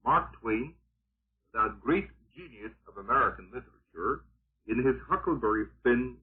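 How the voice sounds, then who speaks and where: A man delivers scripted political commentary in the style of 1940s radio. His voice is very rough and husky, with a lively, swooping intonation that drives home each point with sardonic, oratorical emphasis. The sound is narrow and tinny, like an old broadcast. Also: medium pitch, normal speed.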